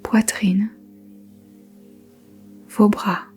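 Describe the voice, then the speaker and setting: A woman teaching yoga nidra, speaking softly in a whispery voice and giving slow, gentle meditation instructions with long pauses. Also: medium pitch, level tone, breathy edge, soft background music. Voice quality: soft whispery voice. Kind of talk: guided meditation.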